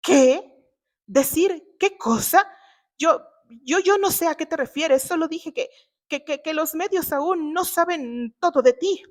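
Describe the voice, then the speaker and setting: Woman acting brash, spiteful young man, high-pitched. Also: measured pace. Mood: nervous stammering. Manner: accusing, stammering when cornered. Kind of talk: dramatized audiobook reading.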